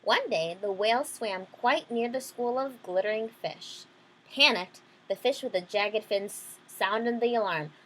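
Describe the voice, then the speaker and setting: A woman's voice: a little high, a little slow, fairly bright tone, rough recording. A woman reading a children's storybook aloud, adding simple explanations for young listeners. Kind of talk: children's picture-book reading with simple asides.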